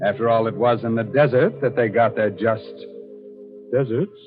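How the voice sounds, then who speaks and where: A man hosting a 1940s radio horror show in a theatrical, drawn-out, sardonic manner full of macabre wordplay. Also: mid-range voice, over music. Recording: muffled 1940s radio broadcast.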